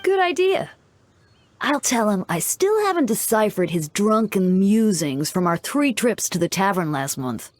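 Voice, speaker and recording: A woman's voice: medium pitch, very animated, unhurried, dry recording. A woman voicing a devout young male church deacon in a video game, composed and dryly teasing. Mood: wry. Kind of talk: English video game voice acting.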